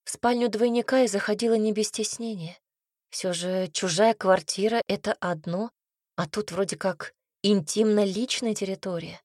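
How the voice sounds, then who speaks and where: A woman narrating a first-person story as an audiobook, reading in an even, expressive way. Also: mid-range voice, moderate pace, dry recording, studio quality.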